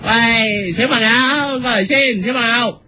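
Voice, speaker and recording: A man's voice: very high-pitched, unhurried, bright, forceful tone, heavily acted. A man with a Hong Kong accent playing a blunt, impatient, mocking street reporter in a comedy radio skit. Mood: brash, exasperated. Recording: noisy street ambience behind.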